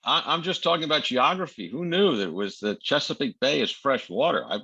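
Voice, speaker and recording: A man in his late seventies discussing a medical case informally, halting and repeating words, over muffled call audio. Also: mid-range voice, animated, bright, forceful tone, slightly rough, no room sound. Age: late 70s. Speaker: senior surgeon giving case comments.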